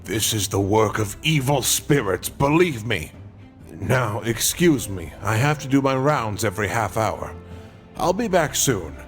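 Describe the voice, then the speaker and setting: An actor playing a superstitious, insistent museum night guard in a video game cutscene, with an ominous way of talking. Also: male voice, fairly low pitch, sing-song, unhurried, faint music behind. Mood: businesslike.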